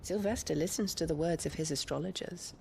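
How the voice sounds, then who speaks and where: A woman's voice: low-pitched, brisk, creaky, warm tone, a little hiss or hum. An actress playing a haughty, scheming noblewoman in a video game, imperious and mock-courteous with cold venom. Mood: scornful, mocking.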